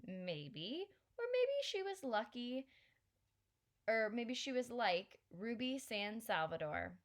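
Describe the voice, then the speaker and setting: A woman's voice: a little high, big pitch swings, slow pace, voice pushed up. A woman reading aloud and voicing a blunt, wry Filipino grandmother in a slightly raised, matter-of-fact voice. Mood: storytelling. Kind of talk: audiobook character reading.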